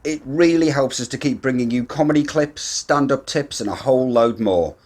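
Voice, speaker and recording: A man reading a short scripted promo for a comedy channel in a steady voice. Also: mid-range voice, moderate pace, very noisy. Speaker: channel announcer.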